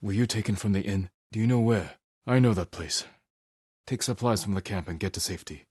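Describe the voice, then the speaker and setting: An actor voicing a solemn, resolute, honourable samurai in a video game, speaking in a terse, measured, serious way. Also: male voice, lowish voice, a little mellow, husky, no echo, clean studio sound. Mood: low, intent.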